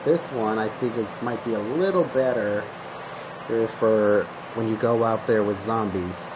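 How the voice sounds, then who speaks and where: Halting man in a noisy amateur recording. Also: lowish voice, muffled recording. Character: calm male zombie apocalypse survivor giving weapon tips. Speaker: roleplay video creator playing a zombie survivor. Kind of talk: casual improvised roleplay monologue to the listener.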